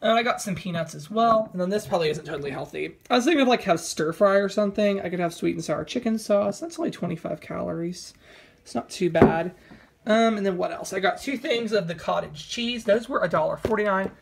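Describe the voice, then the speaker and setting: A woman talking in a casual, rambling, informal way as a vlogger, with a creaky voice, recorded indoors at home on a handheld camera. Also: medium pitch, brisk. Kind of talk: casual haul vlog chat. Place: indoors at home, over a table of groceries.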